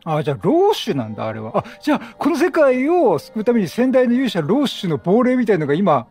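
A man giving casual, chatty game commentary, excitable, repeating phrases and reacting aloud. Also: high-pitched, brisk. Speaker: game streamer. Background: game music.

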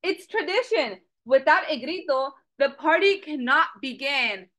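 A young woman reads a picture book aloud to children over a webcam. Her voice is high and bright, and it swings widely in pitch as she questions her young listeners. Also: a little slow. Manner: animated, asks children questions, switches between English and Spanish. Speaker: storytime reader. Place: small office with a Mexico flag poster. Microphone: video call webcam.

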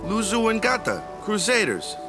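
Laid-back twenties ballplayer, friendly, slangy, swooping intonation, video game, background music. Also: male voice, sits high, very animated, moderate pace. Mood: easygoing, reassuring. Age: 20s. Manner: laid-back, slangy, tag questions like "eh?" and "yeah?". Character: easygoing, friendly 23-year-old male island ballplayer.

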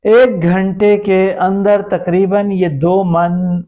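A man's voice: sits high, level tone, extremely slow, muffled recording. A middle-aged man with a Pakistani accent, explaining things to viewers as the host of an online video. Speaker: YouTube host. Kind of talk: explanatory business-idea vlog addressed to viewers. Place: small plain indoor room.

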